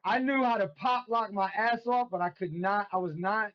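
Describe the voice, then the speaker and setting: Animated New man in his fifties. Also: sits high, moderate pace, muffled recording. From Brooklyn, New York. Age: 50s. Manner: animated storyteller, street slang. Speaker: guest DJ. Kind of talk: casual podcast chat.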